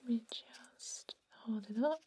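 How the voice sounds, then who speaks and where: Female ASMR dentist role: breathy whisper and soft speech, unhurried, mellow, gently reassuring toward the patient. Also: on the high side, a little hiss or hum. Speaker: ASMR performer playing a dentist.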